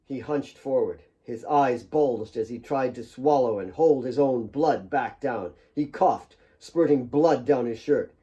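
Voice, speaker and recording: A man's voice: mid-range voice, unhurried, hardly any pauses, warm tone, dull audio. A man reading dramatic scripted fiction as an author narrating his own audiobook.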